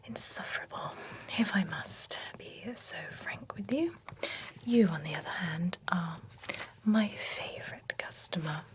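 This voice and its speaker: A woman voicing a chatty, prim female vampire who grooms werewolves, whispering close to the mic in an ASMR roleplay. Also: fairly low pitch. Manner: whispered, gossipy and fussy. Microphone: close sensitive ASMR mic.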